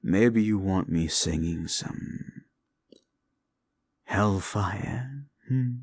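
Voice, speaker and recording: A man playing a haughty, seductive fallen-angel demon in an intimate audio roleplay, with a gravelly voice and archly formal, taunting delivery. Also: drawn-out words, full character voice. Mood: teasing, coaxing. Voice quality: gravelly, creaky low voice. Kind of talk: intimate second-person audio roleplay acting.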